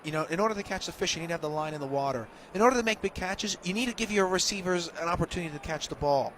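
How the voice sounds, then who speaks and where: A man's voice: high voice, rapid-fire. An American man giving opinionated, emphatic live radio analysis as a football color commentator, often repeating himself. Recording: loud constant stadium noise, outdoor broadcast.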